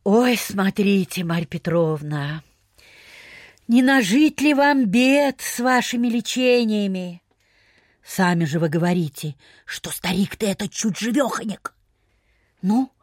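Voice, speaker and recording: An actress playing a wary, gossipy provincial lady in a radio play, with expressive, drawling, old-fashioned speech. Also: on the high side, no echo, clean studio sound. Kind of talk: Soviet radio play acting.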